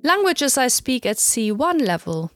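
A woman whose first language is German, calmly explaining language levels in a reflective podcast monologue and slowing down to spell out terms. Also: high-pitched, over music. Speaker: language learner.

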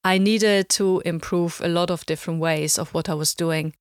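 A woman whose first language is German, calmly explaining language levels in a reflective podcast monologue and slowing down to spell out terms. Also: lowish voice, over loud music. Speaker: language learner.

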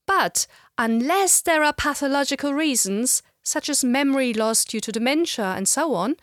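A middle-aged woman with a slight German accent, giving a measured, explanatory lecture. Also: high voice, no room sound, studio quality. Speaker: language educator. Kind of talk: scripted lecture narration.

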